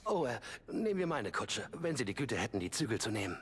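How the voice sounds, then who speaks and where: A flustered young gentleman inventor from a Victorian video game, acted by a man. He is formal and courteous, with a very lively, swooping delivery. There is clear noise behind him. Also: mid-range voice, fast talker, natural voice. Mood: eager, flustered. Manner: polite, hesitant, formal. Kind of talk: German video game dub acting.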